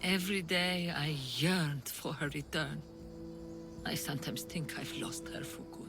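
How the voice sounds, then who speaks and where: An actress playing an earnest older mother and refugee leader in a video game, measured and wistful. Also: sits low, music in the background. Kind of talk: video game cutscene acting.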